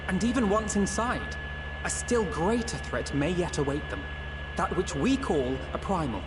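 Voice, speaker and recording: A man's voice: high-pitched, animated, moderate pace, background noise. An actor playing an earnest, eloquent young male scholar in a fantasy game, speaking formally and articulately. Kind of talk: dramatic fantasy game dialogue.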